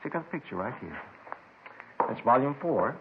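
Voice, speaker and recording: A man's voice: mid-range voice, sing-song, normal speed, noisy background, natural voice. An actor in a 1950s radio drama playing an agitated, paranoid reclusive crank who rambles, stammers and repeats himself about his doomsday writings. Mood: fervent, prophetic. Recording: muffled vintage 1950s radio recording.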